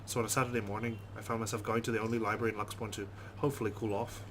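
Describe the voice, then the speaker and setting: A man's voice: on the low side, level tone, very fast, slight background noise. A man hosting a let's play, reading visual novel text aloud and adding informal, chatty commentary.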